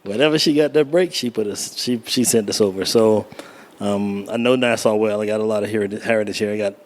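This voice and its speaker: A man's voice: fairly low pitch, brisk. A middle-aged man from Miami, a photographer being interviewed, telling stories casually with frequent laughter. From Miami, United States. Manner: laughing, storytelling, informal. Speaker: guest photographer.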